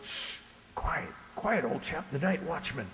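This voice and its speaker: Man acting a sly genteel gentleman, echoey. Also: on the high side, lively intonation, soft background music. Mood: firm hushing. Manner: genteel, insinuating, measured. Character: smug, sly old-fashioned gentleman, treacherous false friend named Roscoe. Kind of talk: radio drama acting. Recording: echoey hall, faint noise behind.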